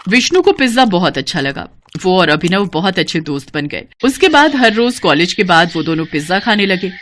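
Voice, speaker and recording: Animated female storyteller, faint background noise. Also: on the low side, brisk. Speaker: story narrator. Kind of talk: scripted children's moral story narration.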